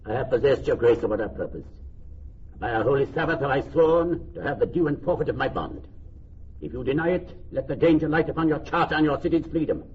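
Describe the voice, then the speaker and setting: An actor playing a vengeful, defiant moneylender in a Shakespearean radio drama, with theatrical, biting emphasis. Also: male voice, medium pitch, natural voice. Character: vengeful, defiant male moneylender with bitter scorn. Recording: muffled, faintly noisy old broadcast recording.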